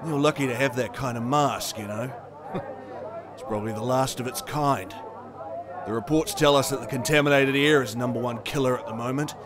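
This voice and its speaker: A man's voice: mid-range voice, a little fast, natural voice. A man playing a weary, cynical leader of a survivors' camp in a sci-fi audio drama, grim and curt. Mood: grim, resigned. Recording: busy crowd ambience behind.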